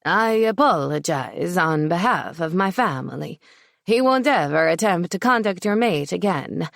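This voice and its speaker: A woman's voice: lowish voice, expressive, vocal fry, fairly bright tone, no echo, clean studio sound, heavily acted. A woman voicing a stern older man with an Italian accent, head of a crime family. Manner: stern, measured, commanding.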